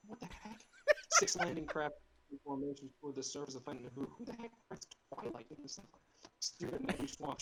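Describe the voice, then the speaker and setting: A man's voice: mid-range voice, very noisy. A man narrating a script in a casual group read, breaking off for playful, mocking asides, heard through a slightly distorted laptop microphone. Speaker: narrator reading screenplay stage directions. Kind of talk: joking group table read and casual online chat. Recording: distorted laptop mic on online voice chat.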